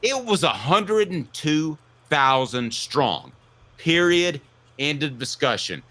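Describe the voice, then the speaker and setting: A man delivers opinionated sports commentary as a talk show host. His voice is bright and forceful, with very lively intonation. He makes his points in punchy, emphatic declarations, stretching stressed words for weight. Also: fairly high pitch, slow.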